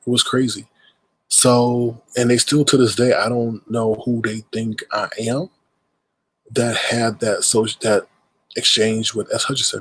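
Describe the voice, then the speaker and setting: A man's voice: mid-range voice, fairly bright tone, slightly rough, rough recording. A man with a stuffed-up nose hosting a casual livestream, rambling and greeting viewers in chat mid-sentence. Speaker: livestream host.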